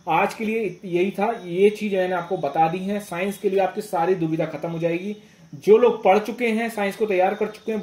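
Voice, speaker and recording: A man's voice: high voice, dark tone. A man giving informal exam guidance as a teacher, instructive, repeating phrases and often checking that listeners follow. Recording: echoey room.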